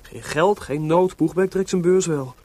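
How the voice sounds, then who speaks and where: An actor playing a playful, broke, impulsive young composer and husband in a Dutch radio drama, with a teasing, theatrical delivery. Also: male voice, on the high side, a little slow, mellow. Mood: flippant, carefree. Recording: noisy old radio play recording.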